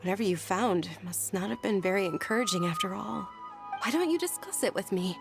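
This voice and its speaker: An actress playing a well-bred, caring young noble lady in a video game, with a polite, gentle, articulate manner. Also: mid-range voice, lively intonation, brisk, vocal fry. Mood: curious, caring. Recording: faint game music behind the voice.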